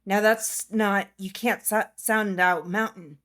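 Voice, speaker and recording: Female tutor guest, middle pitch, plain explanatory delivery. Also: fairly even intonation, slow pace, a bit bright. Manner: plain, explanatory, matter-of-fact. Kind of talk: conversational interview answers.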